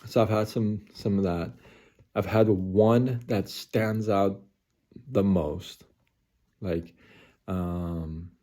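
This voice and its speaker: A man in his forties talks slowly in a very deep, mellow voice, hosting his own late-night live stream. He rambles, circles back and repeats himself, with plenty of ums and likes. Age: 40s. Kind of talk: late-night casual monologue.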